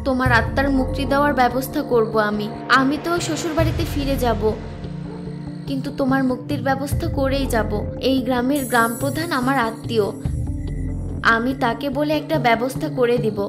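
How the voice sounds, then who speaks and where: Casting: actress as a compassionate young village wife, high voice, earnest and pleading, cartoon, faint music behind. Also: female voice, normal speed, lightly acted. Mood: pleading, reasoning. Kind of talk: cartoon voice acting.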